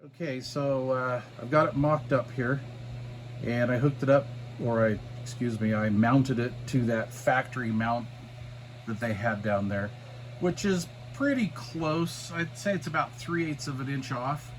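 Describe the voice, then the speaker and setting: A middle-aged man with a medium-pitched voice presents a hands-on project. He explains things casually and without a script, rambling along in a folksy way. A faint, steady room hum sits behind his voice. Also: a little slow, low-quality recording. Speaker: DIY radio hobbyist presenter. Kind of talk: casual unscripted project walkthrough.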